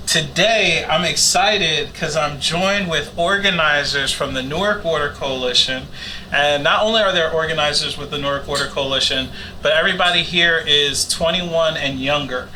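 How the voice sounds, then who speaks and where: Casting: male panel host, very bright forceful voice, casual and encouraging, slight room noise. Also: on the high side, moderate pace, low-quality recording. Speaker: host interviewing young community organizers. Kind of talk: informal panel hosting.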